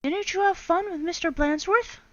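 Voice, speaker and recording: A woman's voice: very high-pitched, normal speed, fairly bright tone, no echo, muffled recording, pitched above the performer's own voice. A woman voicing a schoolteacher in a storybook reading. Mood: cheerful. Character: gentle female schoolteacher, grown-up.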